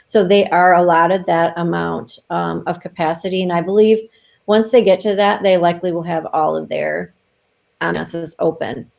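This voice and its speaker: A chatty woman guest talks in a relaxed, loose way, dotting her answers with "um" and short laughs. Her voice sits a bit low and has a gravelly edge. She comes through a video call mic. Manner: chatty, filler-heavy, quick laughs. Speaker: travel advisor guest. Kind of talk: casual interview chat.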